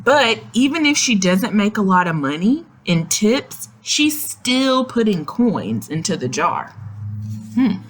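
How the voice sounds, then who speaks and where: A woman reads a picture book aloud for young children at an unhurried, very slow pace. Her medium-pitched voice moves with animated expression. She speaks simply, as someone explaining and checking that small listeners follow. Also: slight background noise. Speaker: read-aloud storyteller.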